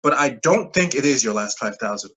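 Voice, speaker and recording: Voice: medium pitch, lively intonation, fairly quick, a bit bright, breathy edge. An actor in a staged play reading, playing a persuasive, evasive pyramid-scheme recruiter who insists in clipped phrases. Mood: persuasive, intense. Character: smooth-talking, pushy pyramid-scheme recruiter mentoring a junior coworker.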